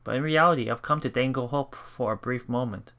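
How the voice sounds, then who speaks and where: A man hosting a let's play, reading visual novel text aloud and commenting in a halting, thinking-aloud manner. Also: medium pitch, a bit bright. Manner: halting, thinking aloud between read passages.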